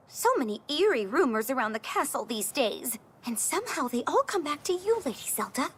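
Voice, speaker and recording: A woman voicing an excitable, gossipy young castle maid with a theatrical, fanciful manner in a video game cutscene. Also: high voice, moderate pace. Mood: dramatic, spooked. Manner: theatrical, gasping, animated storytelling.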